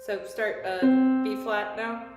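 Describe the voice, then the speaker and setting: A woman's voice: medium pitch, flat delivery, slow. A woman coaching singing exercises step by step, encouraging and given to playful asides. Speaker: singing teacher leading vocal exercises. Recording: echoey room, distant mic, music notes behind.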